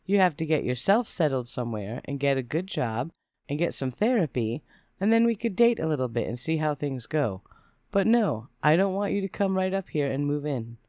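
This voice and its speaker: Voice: medium pitch, very animated, normal speed, darkish tone, no echo, studio quality, natural voice. A narrator voicing an exasperated, sarcastic ex-wife holding firm, testy in manner, in a personal story.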